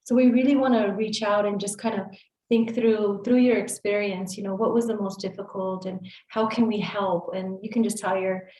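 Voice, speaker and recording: A woman hosts the conversation, sliding between English and Spanish and leaning on "you know" as she frames her questions. Her voice sits at a middle pitch and carries the ring of a reverberant room. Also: steady tone, moderate pace, mellow. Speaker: interview host. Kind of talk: conversational interview.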